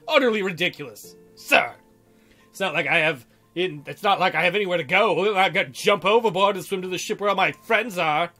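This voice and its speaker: A man's voice: high voice, bright, forceful tone, full character voice. A man voicing a flustered, sarcastic captive navy doctor, chattering and indignant, in a visual novel. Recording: music under the voice.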